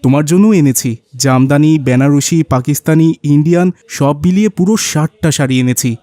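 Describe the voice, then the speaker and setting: A man's voice: fairly high pitch, brisk, mellow, dry recording, lightly acted. A man with a Bangladeshi accent voicing a rich, generous, boastful businessman father in a cartoon. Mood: proud, affectionate. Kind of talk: cartoon voice acting.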